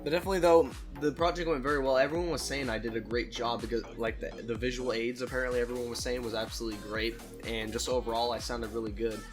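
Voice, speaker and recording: A man's voice: mid-range voice, fairly even intonation, fast, few pauses. A man in his late teens telling personal stories in a casual, rambling, candid and self-deprecating way, swearing freely like a YouTuber on a solo talk show. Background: background music.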